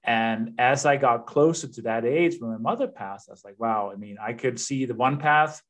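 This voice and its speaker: Male guest, fifties, Danish accent, mid pitch, earnest and reflective conversational delivery. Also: moderate pace. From Denmark. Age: 50s. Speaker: guest, real estate investor. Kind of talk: casual interview chat.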